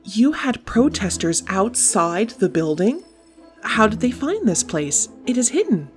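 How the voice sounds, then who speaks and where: A woman's voice: medium pitch, very animated, measured pace, soft background music. A woman playing a sardonic, scolding colleague with a pointed, dry manner in a comic sci-fi audio drama. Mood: exasperated.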